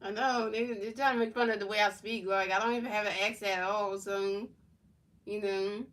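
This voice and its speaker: A woman's voice: mid-range voice, far from the mic, poor audio. A woman streamer doing play-by-play commentary of a football video game and answering her chat in casual slang. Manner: announces plays in a measured, even delivery.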